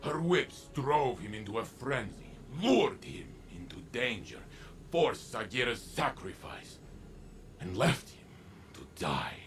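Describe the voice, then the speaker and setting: An actor playing a weary, remorseful alien warrior leader in a game cutscene, speaking gravely and haltingly. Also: male voice, mid-range voice, very animated, faint music behind. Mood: grave, foreboding. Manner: slow, grave, deliberate, halting. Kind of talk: dramatic game cutscene acting.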